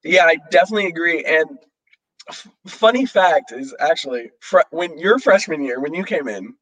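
A man's voice: a little high, lively intonation, moderate pace, fairly bright tone, rough recording. Chatty young man, light voice. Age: young adult. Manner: rambling, many fillers and restarts. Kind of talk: casual chat.

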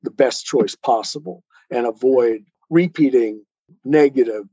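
A man's voice: mid-range voice, measured pace, warm tone, husky, no room sound, dull audio. A man talking as a guest author in a conversational interview, earnestly explaining and posing rhetorical questions. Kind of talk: conversational interview talk on life choices.

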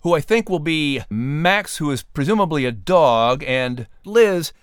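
Animated, higher-voiced male podcast host. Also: measured pace, clear, resonant tone, no echo, clean studio sound. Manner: animated, theatrical. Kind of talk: playful scripted hosting.

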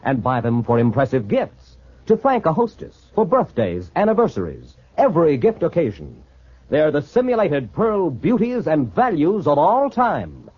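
A man reading a florid scripted sales pitch as an old-time radio announcer. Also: huge pitch swings, moderate pace, breathy edge. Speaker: commercial announcer. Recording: muffled old radio recording.

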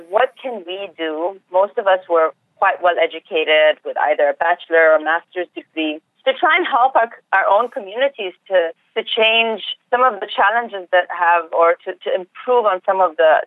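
A woman's voice: lowish voice, moderate pace, hardly any pauses, dry recording. A woman from Egypt speaking as an interview guest, telling her own story in a conversational way. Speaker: interview guest, food systems researcher. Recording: narrow phone-like line.

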